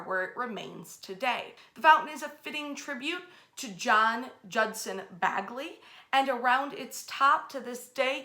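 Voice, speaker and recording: A woman in her thirties hosting casual history storytelling to camera, with an emphatic, expressive delivery. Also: a little high. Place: bedroom, indoors. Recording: roomy, echoey indoor sound.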